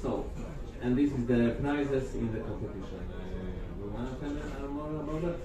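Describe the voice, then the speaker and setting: A man giving a live presentation as a project presenter, speaking halting non-native English with frequent hesitation. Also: medium pitch, animated. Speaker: presenter of a comics competition project. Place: large festival hall, talk to an audience. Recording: very echoey hall, far mic, crowd murmur.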